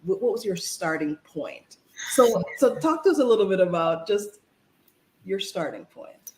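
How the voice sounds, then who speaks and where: A show host opening an interview in a chatty, animated way, repeating words when asking a question. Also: medium pitch, moderate pace. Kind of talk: conversational interview. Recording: echoey room.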